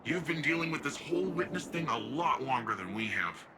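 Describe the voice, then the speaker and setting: An actor playing a cocky, playful young hero in a video game, talking in casual, stop-start asides. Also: male voice, on the high side, expressive, a little fast, crowd noise, lightly acted. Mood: breezy, playful.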